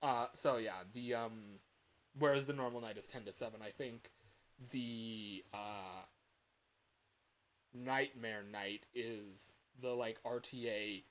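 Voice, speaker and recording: A man's voice: medium pitch, steady tone, slow, fairly bright tone, slight background noise. A man chatting casually as a livestreamer and hobby game developer, rambling and repeating his phrases as he goes.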